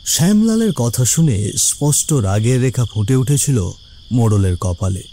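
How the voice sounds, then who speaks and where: Mellow male story narrator. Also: mid-range voice, lively intonation, normal speed, slight background noise. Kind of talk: scripted dramatic story narration.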